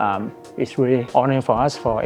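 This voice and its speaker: A man working as a field host, eager and formal; his first language is Thai and he asks questions in halting English. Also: mid-range voice, darkish tone. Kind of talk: host interview. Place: watch boutique in a mall. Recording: music playing behind.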